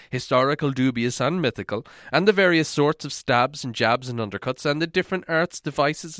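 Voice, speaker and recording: A man's voice: mid-range voice, fairly bright tone, no room sound, studio quality. A man reading scripted storybook narration as an audiobook narrator.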